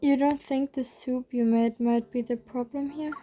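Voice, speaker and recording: A woman reading a game's story aloud in a steady first-person voice. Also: sits high, normal speed, faint music behind. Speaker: reader.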